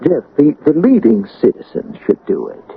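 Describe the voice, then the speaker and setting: On a tinny, noisy old radio recording, a small-town councilman coolly plotting a crime. He is a persuasive man with a fairly high, swooping voice and hesitant pauses. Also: wildly swooping pitch, vocal fry, slightly rough, full character voice. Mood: calm, scheming. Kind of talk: old-time radio drama acting.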